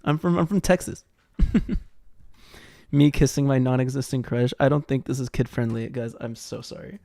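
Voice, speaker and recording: A man's voice: medium pitch, fairly even intonation, moderate pace, creaky, warm tone, no room sound, studio quality. A man streaming live, reacting to a video and chatting loosely with viewers. He laughs often, swears, and repeats words as he talks. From Costa Rica. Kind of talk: casual live-stream commentary.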